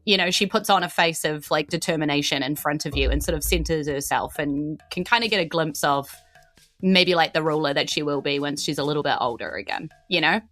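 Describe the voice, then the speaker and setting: A woman's voice: low-pitched, fairly quick, fairly bright tone, faint music behind. A woman with a New Zealand accent improvising narration as game master of a tabletop role-playing game.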